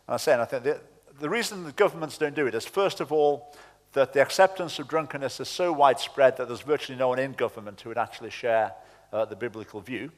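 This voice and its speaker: A man in a public debate, arguing in a measured, reasoned way. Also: medium pitch. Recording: long hall reverb, off mic.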